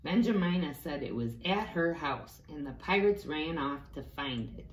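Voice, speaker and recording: A woman's voice: low-pitched, animated, moderate pace. A woman reading a storybook aloud to young children, expressively, with little explanatory asides. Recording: echoey room with faint background noise.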